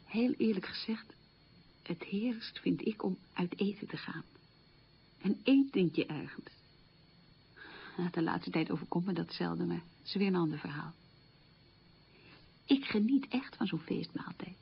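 An actress playing an opinionated, weary middle-aged schoolteacher who confides frankly in a conversational radio monologue. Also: female voice, lowish voice, lively intonation, unhurried, gravelly, long pauses, slightly rough, muffled recording, exaggerated voice. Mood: confiding. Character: opinionated, weary schoolteacher mother marked by the war.

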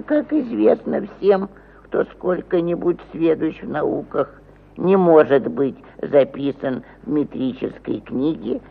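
A man's voice: medium pitch, creaky, heavily acted. An actor playing a pompous, aggrieved provincial landowner who ponderously reads out his petition in a theatrical radio play style. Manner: pompous, ponderous, with stumbles over words. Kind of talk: theatrical mid-century radio play acting. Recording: phone-like narrow-band old 1950 radio recording.

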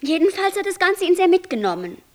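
Dark angel of nothingness, radio play, high voice, coaxing, taunting, theatrical, possessive. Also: female voice, very animated, brisk. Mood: teasing.

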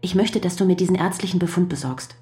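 A woman's voice: on the low side, quick pace, warm tone, close to an everyday voice. An actress playing a worried, devoted wife who visits her husband in prison and presses him with earnest questions, in a radio drama. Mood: concerned.

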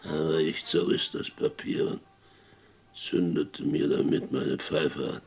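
An actor playing a broken, guilt-ridden, whisky-drinking former sheriff, telling his story slowly and bitterly in a radio play. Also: rumbling low, steady tone, darkish tone, soft background music, heavily acted. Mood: heavy, remorseful. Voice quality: very gravelly. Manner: slow, brooding, bitter.

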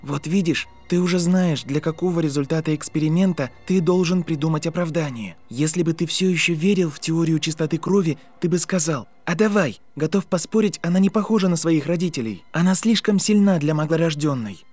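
Man playing an earnest, higher-voiced boy scientist wizard. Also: a little fast, hardly any pauses, a little mellow, soft background music, lightly acted. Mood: insistent, lecturing. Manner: reasoning, lecturing, intense.

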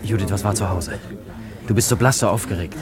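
An actor playing a gentle, earnest young bridegroom in a serious radio drama, plain-spoken and reassuring. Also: male voice, fairly low pitch, fairly quick, crowd noise, close to an everyday voice. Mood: urgent, concerned. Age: young adult.

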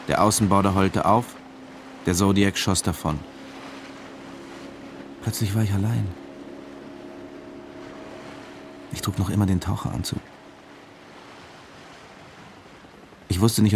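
An actor playing a weary, resigned diving instructor who narrates a radio drama in a terse, matter-of-fact way. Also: male voice, sits low, a little hiss or hum. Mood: grim, recollecting. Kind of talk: German radio drama, intimate first-person narration and dialogue.

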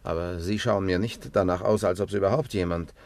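Creaky-voiced, stubborn radio-play sleuth. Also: male voice, low-pitched, fairly even intonation, fairly quick, darkish tone. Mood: dry, probing. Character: groggy but stubborn, shrewd male journalist-investigator. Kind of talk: 1960s German radio crime drama acting.

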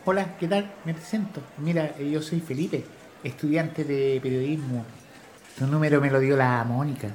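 A man's voice: fairly high pitch, fairly even intonation, normal speed, darkish tone, a little hiss or hum, natural voice. A man with a Chilean accent playing a polite young journalism student in a radio drama. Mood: polite, earnest. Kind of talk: natural radio drama dialogue.

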